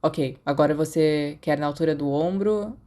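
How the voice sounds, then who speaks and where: A woman's voice: sits low, moderate pace, dark tone, lightly acted. A woman voicing a bookish, reserved, wry teenage boy in an audiobook, with a dry, instructive manner. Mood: patient instructing.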